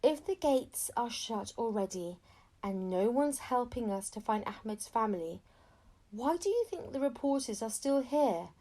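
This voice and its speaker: A woman's voice: fairly high pitch, animated, unhurried, mellow. A woman voicing a puzzled primary school child in a storybook, speaking in an earnest, questioning way.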